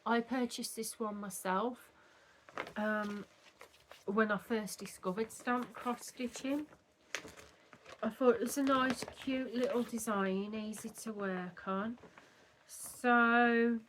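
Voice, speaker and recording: Slow-talking woman, slightly croaky. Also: a little high, a little hiss or hum, low-quality recording. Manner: rambling, chatty, with restarts. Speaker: cross-stitch vlogger. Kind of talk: casual chat showing craft progress. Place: at home at a table.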